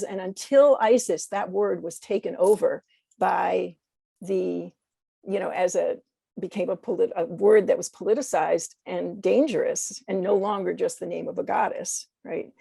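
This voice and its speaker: Hesitant American woman with husky, creaky voice. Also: mid-range voice, steady tone, dark tone, dry recording. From United States. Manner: halting, searching, self-correcting. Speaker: poet, guest. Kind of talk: reflective interview talk.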